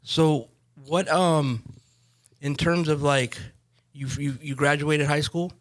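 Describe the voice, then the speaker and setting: A man's voice: a little high, fairly even intonation, takes it slowly. A man in his forties hosting a recovery podcast, friendly and rambling, often restarting his sentences. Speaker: podcast host. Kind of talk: casual interview.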